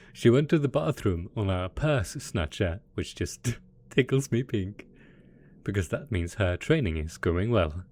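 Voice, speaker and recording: A man voicing a smug supervillain mad scientist, low swooping voice, theatrical, playful mock innocence, doting, roleplay audio. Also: expressive, a little slow, darkish tone. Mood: smug glee. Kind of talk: scripted roleplay audio drama.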